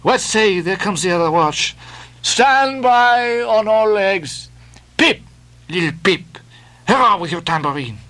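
Man doing an excitable dancing sailor. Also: high voice, unhurried, voice pushed up, exaggerated voice. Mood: excited. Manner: excited, urging and cheering. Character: lively male sailor eager to dance.

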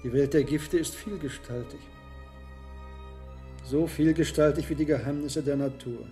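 Guy playing a medieval monk herbalist in a radio play, kinda high mellow voice, thinks things over slowly, hesitant. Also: faint music behind, natural voice. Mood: lecturing. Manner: deliberate, musing, with reflective pauses. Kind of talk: German radio drama acting.

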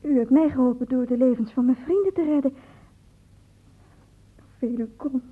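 Nervous guarded student girl in old radio drama, really high husky-breathy voice, tinny narrow sound. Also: normal speed. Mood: guarded, defiant. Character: anxious, guarded, loyal young female student. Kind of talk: Dutch radio play acting. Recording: muffled, phone-like old radio recording.

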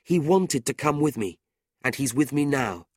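A man's voice: medium pitch, expressive, moderate pace, slightly rough, dry recording, clean studio sound, pitched above the performer's own voice. A man doing a proud, hot-tempered young hero. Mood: indignant. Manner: earnest, indignant.

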